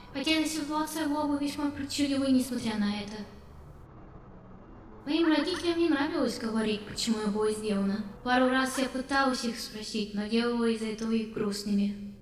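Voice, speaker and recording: A young robot girl voiced by a woman talks in a polite, childlike, confiding way. Her voice is fairly high and wistful, like a game character. It sits in long reverb with faint noise behind it. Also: recorded from a distance, close to an everyday voice. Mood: shy, wistful. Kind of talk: game character dialogue dub.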